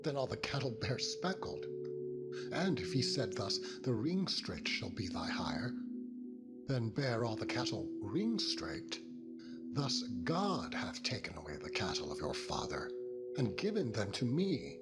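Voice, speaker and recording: A man playing earnest aggrieved patriarch, dramatized scripture, emphatic, raised pitch, slow, loud music behind. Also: huge pitch swings. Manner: declamatory, emphatic.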